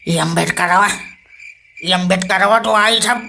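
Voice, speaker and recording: A woman playing a stubborn, scolding old grandfather in a cartoon comedy, with a rustic eastern Uttar Pradesh accent and a creaky voice. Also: fairly low pitch, normal speed, bright, forceful tone, a little hiss or hum. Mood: indignant. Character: stubborn, hot-tempered old Brahmin grandfather.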